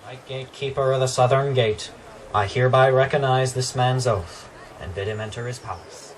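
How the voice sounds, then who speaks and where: An actor playing a dutiful young knight gatekeeper in a fantasy radio drama, speaking in firm, formal proclamations. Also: male voice, mid-range voice, moderate pace, far from the mic, slight background noise, close to an everyday voice, rough recording.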